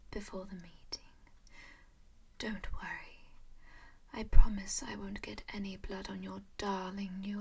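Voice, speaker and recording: A woman voicing a composed, doting female vampire noble in a whispered roleplay, gently coaxing and possessive. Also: mid-range voice, husky, exaggerated voice. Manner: soft whisper throughout; gently possessive and coaxing, calls listener darling and dear.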